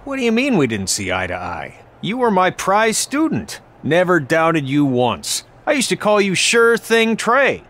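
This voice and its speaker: A man's voice: high voice, huge pitch swings, unhurried, few pauses, fairly bright tone, heavily acted. A man playing a boastful, proud veteran wrestling trainer in a video game cutscene, glib and evasive. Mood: smug, insistent.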